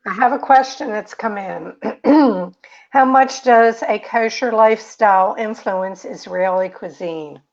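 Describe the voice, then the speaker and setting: A woman's voice: medium pitch, a little slow, a little mellow. Dull, lo-fi call audio carries a woman with a mid-range voice. She is the host, putting questions to a guest in a conversational way. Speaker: host, interviewer. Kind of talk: conversational interviewing.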